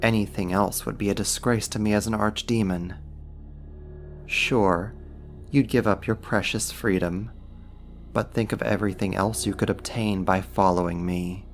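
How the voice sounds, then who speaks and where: A man's voice: on the low side, steady tone, faint music behind, close to an everyday voice. A man playing a smug, possessive archdemon in an ASMR roleplay, teasing and coaxing a human in an intimate, amused manner. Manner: teasing, smug, deliberate delivery. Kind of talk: ASMR roleplay acting, intimate second-person monologue.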